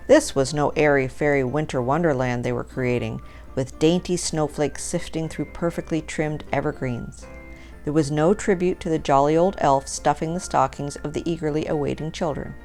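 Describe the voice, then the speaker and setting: A woman's voice: low-pitched, darkish tone. A woman reading a humorous story aloud as a storyteller, in scripted narration. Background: music.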